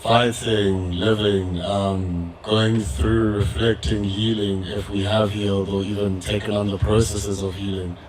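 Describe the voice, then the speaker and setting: A young man speaking reflectively in a public community discussion, measured and pausing often. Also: lowish voice, level tone, a crowd in the background, rough recording. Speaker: audience member in a community dialogue. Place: event hall with audience.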